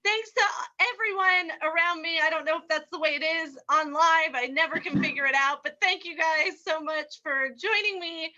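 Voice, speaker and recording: Very high, bright-voiced woman host. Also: fairly even intonation, moderate pace, few pauses. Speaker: host of a radio play reading. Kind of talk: casual sign-off to an online audience. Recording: dull lo-fi sound.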